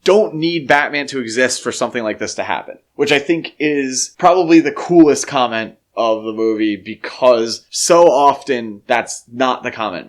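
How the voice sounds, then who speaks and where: Man debating on a podcast. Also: medium pitch. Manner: opinionated, argues his points at length. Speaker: podcast co-host. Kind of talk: casual film discussion.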